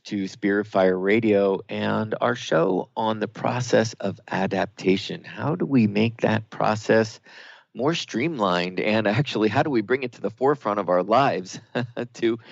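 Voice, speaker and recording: A man's voice: on the low side, vocal fry, no room sound, dull audio. A man hosting a talk radio show in a conversational way, with hesitant phrasing.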